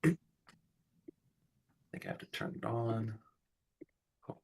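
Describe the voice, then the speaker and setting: A man in his thirties hosting a casual livestream, his voice raspy from allergies and a stuffy nose, rambling and joking about himself. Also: lowish voice, wildly swooping pitch, slow pace, far from the mic, a little hiss or hum. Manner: rambling, self-deprecating joking. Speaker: livestream host.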